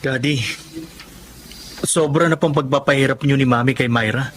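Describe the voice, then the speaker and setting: A man's voice: medium pitch, steady tone, normal speed, a bit bright, slight background noise, lightly acted. A man voicing a respectful young son who earnestly confronts his father about mistreating the maid. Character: young rich son, respectful but firm.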